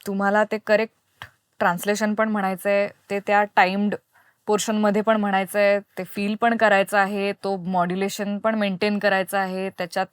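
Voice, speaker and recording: A woman's voice: mid-range voice, flat delivery, normal speed, clear, resonant tone, no echo, clean studio sound. A woman hosting a conversational interview, attentively summing up and prompting, with English words mixed in. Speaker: podcast host, interviewer.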